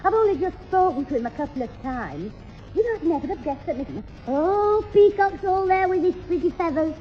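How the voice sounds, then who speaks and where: An eager widow in middle age, hungry for romance. Her delivery is coy, gushing and animated, in the manner of a 1960s radio sitcom on a dull old recording. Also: extremely high pitch, fairly quick, music in the background. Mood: pleased.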